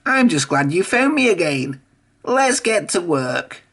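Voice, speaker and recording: A reader voicing Whiff, a scruffy, friendly little male engine, who speaks gently and slowly with cheer. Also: medium pitch, very animated, pitched above the performer's own voice, exaggerated voice.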